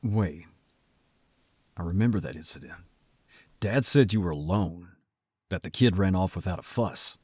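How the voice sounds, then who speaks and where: A man plays a protective werewolf brother in an audio drama. His voice is low and husky, recorded dry and close. He talks bluntly and guardedly, gruff but ready to tease. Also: moderate pace, long pauses, a little mellow, dry recording. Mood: surprised.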